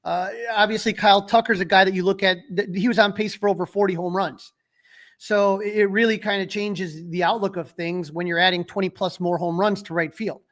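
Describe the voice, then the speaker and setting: A man with a notably high-pitched voice talks sports as a podcast co-host. His delivery is casual and conversational, and he states his opinions with emphasis. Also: steady tone, brisk, fairly bright tone. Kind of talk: casual sports talk and analysis.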